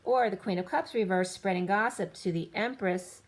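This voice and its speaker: A woman giving a rambling tarot reading, listing cards in loose fragments, her voice going a little hoarse. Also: medium pitch, moderate pace. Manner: rambling, lists card after card in loose fragments. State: slightly losing her voice. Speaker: tarot reader.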